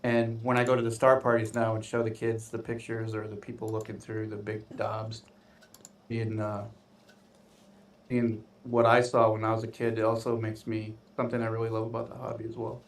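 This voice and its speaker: Flat-voiced man, distant in a roomy space. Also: fairly low pitch, moderate pace, a little hiss or hum. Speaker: guest on a livestream. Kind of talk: casual chat. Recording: roomy and far from the mic.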